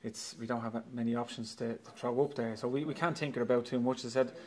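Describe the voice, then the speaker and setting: A man's voice: medium pitch, steady tone, quick pace. An Irish football manager in his forties giving a matter-of-fact post-match interview in a clubhouse trophy room, talking into a handheld mic. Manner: matter-of-fact, repeats himself, frustrated but upbeat. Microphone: handheld mic held by interviewer.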